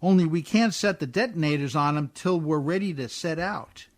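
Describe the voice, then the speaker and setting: A man's voice: a little high, moderate pace. A man playing a scrappy, good-hearted riverboat captain in an old-time radio drama, with folksy, ungrammatical working-man speech. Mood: confident.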